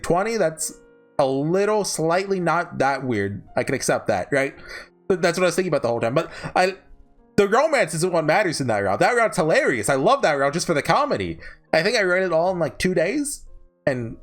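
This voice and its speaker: A man giving casual, rambling commentary as a video host, informal and hesitant, often correcting himself. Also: fairly high pitch. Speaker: video host reviewing visual novels.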